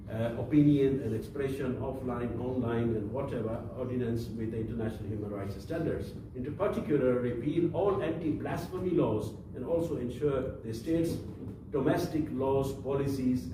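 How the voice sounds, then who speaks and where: A man speaking as an activist, giving testimony and listing demands haltingly, with restarts. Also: medium pitch. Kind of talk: testimony and list of demands at a panel. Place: large conference room. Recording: echoey room, distant mic, noisy.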